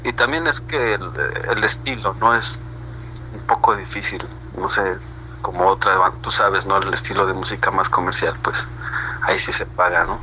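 A man's voice: sits low, steady tone, moderate pace, pushed and bright. A Mexican man being interviewed as a band member, chatting casually in a slangy, rambling way with frequent tag questions. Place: remote internet call. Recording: muffled, noisy internet video call.

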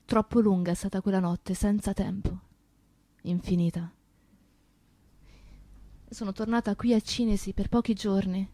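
An actress playing an anxious, grieving young woman in a radio play, with intense, deliberate phrasing. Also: mid-range voice, warm tone, no room sound, close to an everyday voice. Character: anxious young woman, grieving comrade remembering a terrible night. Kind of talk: theatrical radio play monologue and dialogue.

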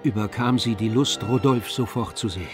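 A man playing the composed, observant narrator of a literary radio drama, with measured delivery. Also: lowish voice, over music, lightly acted.

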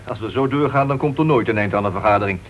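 A man's voice: medium pitch, quick pace, lightly acted. An actor playing a formal, slightly impatient club chairman in a radio play. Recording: dull, faintly noisy vintage radio recording.

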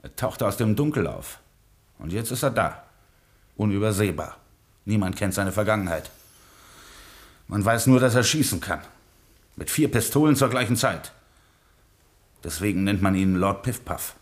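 Radio drama gangster boss, played by a man: lowish voice, dramatic, coaxing, pleading. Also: expressive, normal speed, pauses often, lightly acted. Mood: ominous. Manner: dramatic, persuasive. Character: pleading gangster boss asking an old friend's help. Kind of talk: German radio play acting.

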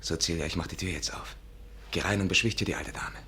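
A low-voiced man as an unflappable amateur sleuth in an old radio thriller. He talks quickly and persuasively, always sure of his plan. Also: sharp tone, a little hiss or hum. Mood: hushed, businesslike. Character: brisk, confident male amateur detective, cajoling and unflappable. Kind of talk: 1950s German radio drama acting.

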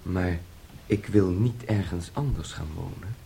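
An actor playing a naive, simple nineteen-year-old son in a radio drama, speaking in a guileless, childlike, earnest way. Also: male voice, low-pitched, a little slow, vocal fry, dark tone, a little hiss or hum. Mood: anxious, puzzled.

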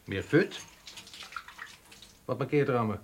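An actor playing a weary, principled, defensive schoolteacher husband in a radio drama. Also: male voice, mid-range voice, animated, measured pace, lightly acted. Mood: exasperated, defensive. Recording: light noise, clicks, clattering dishes.

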